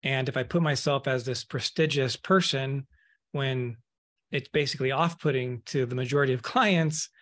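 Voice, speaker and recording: A man's voice: medium pitch, moderate pace, fairly bright tone. A man in his thirties talks candidly as a podcast guest, picking up speed when he gets worked up. His voice sits in the middle range. He comes through a webcam on a video call from an office room. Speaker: guest, accounting firm owner. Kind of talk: casual podcast interview.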